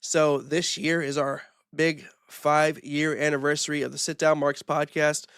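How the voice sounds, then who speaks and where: A man hosting his own wrestling podcast, talking in a rambling, casual way with lots of fillers, his voice hoarse from a recent illness. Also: fairly high pitch, flat delivery, measured pace, a bit bright, no room sound, studio quality. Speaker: podcast host. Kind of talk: solo wrestling opinion podcast.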